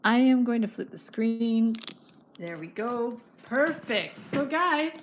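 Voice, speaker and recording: A woman's voice: fairly high pitch, lively intonation, slow pace, slight background noise. A woman hosting a casual craft livestream, chatting as she reads viewer comments, her voice starting to go. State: losing her voice.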